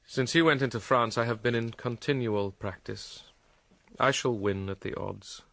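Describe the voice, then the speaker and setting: Brief: troubled young prince, mid-pitched, theatrical, dryly mocking and reflective, Shakespearean radio drama, close dry sound. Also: male voice, gravelly, fairly bright tone, no room sound. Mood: resigned, reflective. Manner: theatrical, quick-witted, shifting between dry mockery and reflection. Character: troubled, witty, melancholy Danish prince, young man.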